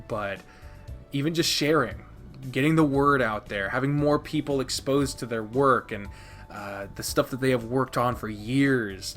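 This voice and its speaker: A man's voice: on the low side, sharp tone. A man hosting a casual countdown video, talking informally and emphatically with plenty of swearing. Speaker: video host, visual novel reviewer. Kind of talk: casual scripted top-ten countdown commentary.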